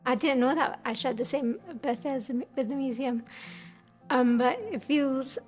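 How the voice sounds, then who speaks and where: A young woman in her late twenties with a somewhat high voice. She talks casually and affectionately, pausing on fillers now and then. Soft music plays underneath. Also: fairly even intonation. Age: late 20s. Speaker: interviewee. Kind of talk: casual reminiscing.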